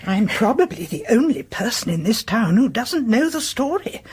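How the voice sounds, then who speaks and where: A woman's voice: on the high side, very animated, moderate pace, a little mellow, breathy edge, heavily acted. An actress playing an inquisitive, chatty widow in her seventies in a British radio play, with genteel, probing phrasing. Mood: shaken, intrigued. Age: 70s. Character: inquisitive, chatty seventy-two-year-old widowed woman neighbour.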